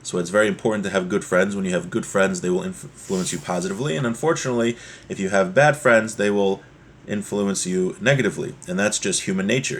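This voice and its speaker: A man giving a religious lesson as a teacher, explaining a text point by point and repeating key ideas, in a husky voice. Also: low voice. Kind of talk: Torah lesson, reading Hebrew text and explaining it.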